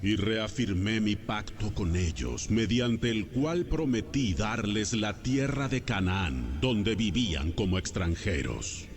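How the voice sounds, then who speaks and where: A man voices an almighty God in a Bible audio drama. The voice is low, slow and solemn, declaring with authority over clearly audible music.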